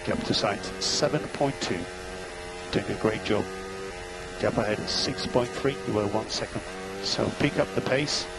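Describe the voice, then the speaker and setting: A man's voice: fairly low pitch, a little slow. Male race engineer on noisy team radio. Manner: clipped, steady calls of gaps and lap times. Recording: heavy noise, radio over engine.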